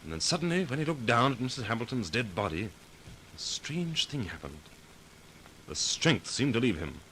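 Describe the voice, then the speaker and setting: An actor playing a brave, confident amateur sleuth in an earnest 1940s radio drama. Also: male voice, mid-range voice, big pitch swings, moderate pace, bright, forceful tone. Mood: grave, relieved. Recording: faintly noisy vintage 1940s radio recording.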